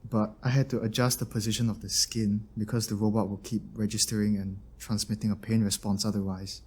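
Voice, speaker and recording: A man playing a grumbling but soft-hearted robotics engineer in a scripted audio drama. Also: fairly low pitch, little pitch movement, brisk, husky. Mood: matter-of-fact. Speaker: voice actor in an audio roleplay.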